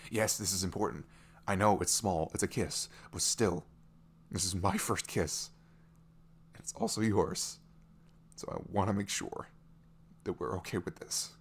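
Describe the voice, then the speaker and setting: Man acting a giddy low-voiced boyfriend, creaky. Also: slight background noise. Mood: earnest, nervous. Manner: giggly, gushing, stumbling over words. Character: adorable giddy young boyfriend, lovestruck and nervous. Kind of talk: ASMR romantic roleplay.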